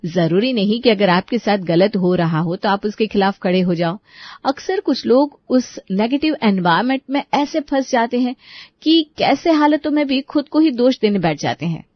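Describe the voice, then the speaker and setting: A woman's voice: medium pitch, expressive, normal speed, no echo. Woman reading a dramatic story, dry close sound, slightly muffled. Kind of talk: scripted dramatic story narration.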